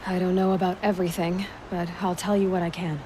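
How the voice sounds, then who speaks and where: A woman's voice: fairly low pitch, little pitch movement, fast talker, noisy background, natural voice. A woman playing a determined, plain-spoken young warrior in a video game, talking directly. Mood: calm, resolute. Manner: direct, resolute, unadorned. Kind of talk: video game cutscene acting.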